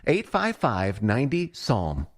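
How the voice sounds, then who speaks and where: A man delivers a radio commercial as its announcer. He talks at a medium pitch, conversational yet emphatic, and keeps a persuasive tone. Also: huge pitch swings, measured pace. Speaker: advertisement announcer.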